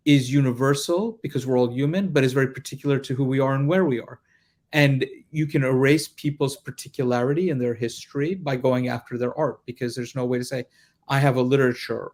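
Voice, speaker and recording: Man, mid-pitched voice, panel moderator, reflective, articulate, deliberate phrasing. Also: a little mellow. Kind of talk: panel discussion, thinking aloud. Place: live panel discussion at a festival.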